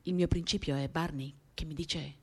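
A woman gives an expressive, lyrical dramatic reading of a first-person literary story, shifting in intensity as she tells it. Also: deep voice, moderate pace, slightly rough. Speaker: reader of a literary work. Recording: acoustic guitar music accompanying the voice. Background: acoustic guitar.

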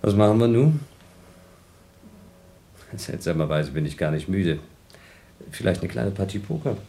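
A man's voice: sits low, sing-song, measured pace, creaky, warm tone, full character voice. A man playing a bored, teasing astronaut on a moon base in a radio play, talking in a colloquial, needling way.

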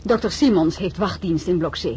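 A woman's voice: lowish voice, brisk, darkish tone, slight background noise, lightly acted. A woman playing a polite, dutiful hospital assistant in a radio drama.

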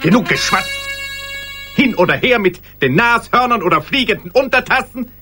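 An actor playing a fussy, authoritative office boss in a radio play, admonishing and officious. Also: male voice, sits high, animated, moderate pace, music in the background. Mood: impatient, scolding. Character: fussy, authoritative male office boss scolding his staff.

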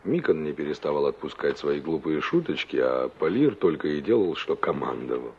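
A man's voice: rumbling low, moderate pace, slight background noise, heavily acted. An actor playing a young engineer who narrates his memories dramatically in a Soviet radio play. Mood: nostalgic, animated. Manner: animated, reminiscing. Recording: dull 1960s radio play recording.

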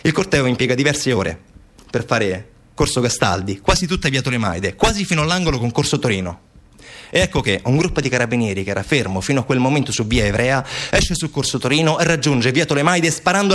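A man's voice: medium pitch, expressive, a bit bright. A man performing a dramatic narrative monologue as a stage actor, urgent and rhetorical in delivery. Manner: urgent, rapid-fire delivery with rhetorical repetition and lists. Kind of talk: dramatic monologue, theatrical storytelling for radio.

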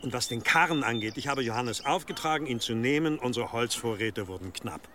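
A man plays a monastery abbot in a radio drama, middling in pitch. He speaks formally and smoothly, with evasive courtesy and quiet authority. There is faint noise behind him. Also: close to an everyday voice. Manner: formal, unctuous, measured. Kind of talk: German radio drama acting.

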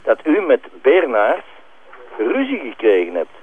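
An actor playing a blunt, needling Flemish police investigator in a crime drama, direct and insistent. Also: male voice, mid-range voice, wildly swooping pitch, a little slow, a little mellow, slight background noise, poor audio. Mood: blunt, probing. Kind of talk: naturalistic TV crime drama dialogue.

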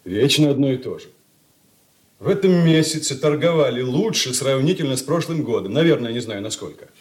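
Haughty young merchant's son, played by an actor. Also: male voice, mid-range voice, animated, brisk, close to an everyday voice. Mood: dismissive. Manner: dismissive, disdainful. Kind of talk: Soviet radio drama acting.

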